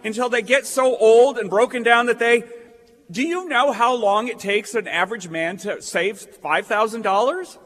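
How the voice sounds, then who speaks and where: Guy doing a fired up young idealist, folksy and stammery, high voice, stage radio play in a big echoey hall. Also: moderate pace, fairly bright tone. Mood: indignant. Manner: impassioned, stammering, folksy speech. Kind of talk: staged old-time radio play acting. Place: theatre stage. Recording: reverberant stage hall recording.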